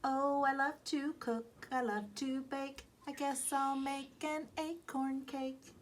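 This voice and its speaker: Woman playing a high, singsong little lady squirrel. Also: steady tone, slow pace. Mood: cheerful singing. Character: small female gray squirrel, cheerful, house-proud, hospitable. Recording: background noise from reading outdoors.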